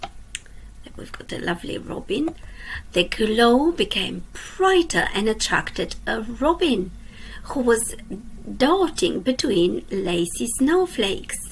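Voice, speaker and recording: Slow, gentle woman reading a storybook. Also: fairly high pitch, big pitch swings, creaky, breathy edge, low-quality recording. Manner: slow, gentle, drops to a soft whisper at line ends; asks the young listeners questions. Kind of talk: children's storytime read-aloud. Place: indoors at a computer.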